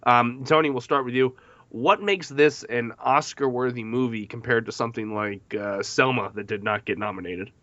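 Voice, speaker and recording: A man's voice: medium pitch, moderate pace, sharp tone, no echo. A man hosting a film podcast, moderating the discussion and putting questions to panelists. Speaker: podcast host. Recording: dull lo-fi podcast audio.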